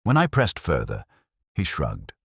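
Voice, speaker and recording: A man with an extremely low voice reads a reflective first-person detective tale. His delivery is measured and brooding, with lively movement in pitch. The recording is dry and close, with very little room sound. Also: gravelly, husky, dry recording. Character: world-weary male police inspector recounting a case. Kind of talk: scripted first-person detective story narration.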